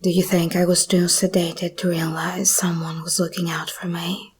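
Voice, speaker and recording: A woman playing an escaped hybrid monster girl, possessive yet tender, in an intimate, breathy whispered ASMR roleplay. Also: on the low side, level tone. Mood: tender, reassuring. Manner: slow, intimate, intense.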